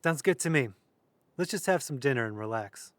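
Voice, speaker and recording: A man playing a measured, skeptical young friend in an audio drama, careful and articulate. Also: medium pitch, animated, close to an everyday voice. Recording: music under the voice.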